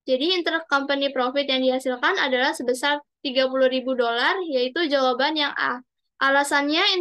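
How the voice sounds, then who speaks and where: A young woman gives a student presentation in a high-pitched, clearly bright voice. She reads worked answers in a formal way, now and then stumbling on a word and correcting herself. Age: young adult. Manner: formal, read-aloud delivery with small stumbles. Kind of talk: reading out worked exercise answers in a class presentation.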